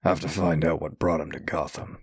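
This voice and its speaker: A man voicing a grim masked vigilante detective in a comic dub, husky and terse, like a stern inner monologue. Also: little pitch movement, fairly quick, a little mellow, no room sound, studio quality, exaggerated voice. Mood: brooding. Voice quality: husky, low. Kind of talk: comic dub dramatic narration.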